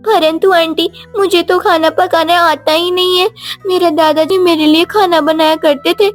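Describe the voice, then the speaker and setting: Woman playing a very high-voiced timid little girl. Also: fairly even intonation, normal speed, soft background music. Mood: pleading, troubled. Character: innocent, timid young girl. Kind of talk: Hindi animated children's story acting.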